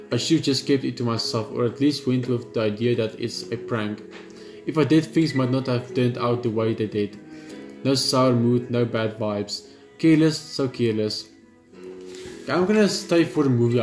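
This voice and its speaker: A man reading a horror visual novel aloud in the first person, steady but often stumbling and restarting lines. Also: mid-range voice. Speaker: gaming channel host. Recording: roomy room with loud game music.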